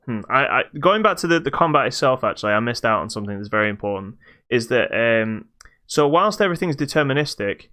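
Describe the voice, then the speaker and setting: A man's voice: mid-range voice, expressive, quick pace, bright, forceful tone. A British man hosting a podcast, casually reviewing a video game in a hesitant, stop-start way.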